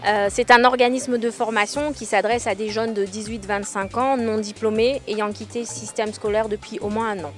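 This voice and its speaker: A woman's voice: a little high, fairly even intonation, normal speed, few pauses, faint music behind. A woman who works for a training school, speaking in a news interview outdoors in a housing estate courtyard, hesitant, with many fillers and restarts. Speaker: staff member of a training school.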